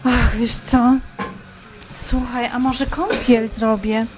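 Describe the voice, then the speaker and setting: Woman acting fretful, flirtatious married woman, high-voiced. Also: steady tone, takes it slowly. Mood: coaxing. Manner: fretful, coaxing, repetitive. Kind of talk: amateur comic podcast radio drama.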